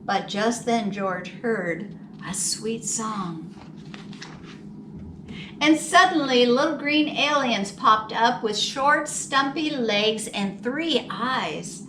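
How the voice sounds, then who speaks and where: A woman reads a children's storybook aloud with dramatic expression, taking her time over each phrase. Her voice is very bright and fairly high. It is picked up from a little way off in a roomy space. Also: slow. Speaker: library storytime reader. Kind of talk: children's picture book read-aloud. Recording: roomy, distant mic with faint noise.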